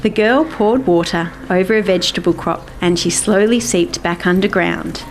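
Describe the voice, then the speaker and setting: Lowish-voiced woman storyteller. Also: moderate pace, noisy background. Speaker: author and storyteller. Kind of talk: scripted children's story narration.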